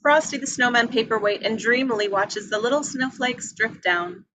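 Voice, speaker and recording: High-voiced woman reading screenplay directions. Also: moderate pace, bright, forceful tone, slight background noise, low-quality recording. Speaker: reader of action descriptions. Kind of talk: scripted narration of screenplay directions.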